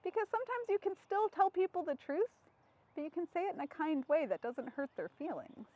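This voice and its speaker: Very high, animated woman reading to children. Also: brisk, dull audio. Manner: expressive, child-directed, asking questions. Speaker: storytime host reading to children. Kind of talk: interactive children's storybook read-aloud.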